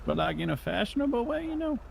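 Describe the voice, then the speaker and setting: A man's voice: extremely high pitch, sing-song, quick pace, creaky, slight background noise. A man chatting casually as a streamer guest, slangy and jokey in his delivery. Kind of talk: casual chat.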